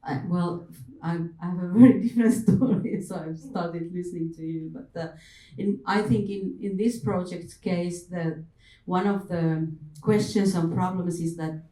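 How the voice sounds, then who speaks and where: A woman with talks haltingly on a panel. Her voice is low and dark, heard at some distance from the mic in a reverberant room. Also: fairly even intonation. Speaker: panel speaker. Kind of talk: hesitant panel discussion.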